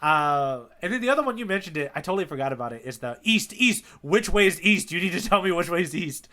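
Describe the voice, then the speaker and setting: A man's voice: high-pitched, big pitch swings, fast talker, sharp tone. A man co-hosting a podcast in casual chat, enthusiastic and slangy. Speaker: podcast co-host. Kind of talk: casual chat about manga.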